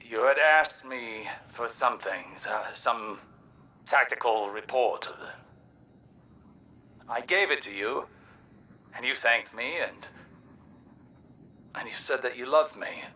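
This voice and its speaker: A man acting a stern, pained scholar with a tender side in a video game, measured and halting in delivery. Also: medium pitch, sharp tone, recorded from a distance. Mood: tender, reluctant.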